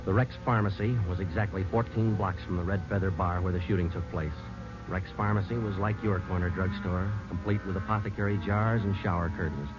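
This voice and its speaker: Actor as a low, clipped, deadpan police sergeant. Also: male voice, a little fast, lightly acted. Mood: terse, weary. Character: terse, world-weary male police sergeant narrating his case. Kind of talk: 1950s radio police drama narration and acting. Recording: muffled vintage radio recording, music behind.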